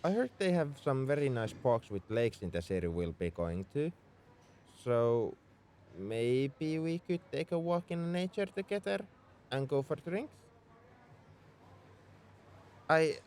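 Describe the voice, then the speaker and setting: A man's voice: medium pitch, big pitch swings, measured pace. A man playing a young tsundere spaceship mech engineer in an audio roleplay, defensive about his feelings as he speaks straight to the listener. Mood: flustered, shy. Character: gruff-caring tsundere male mech engineer, young adult. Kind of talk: ASMR roleplay acting, addressed to the listener.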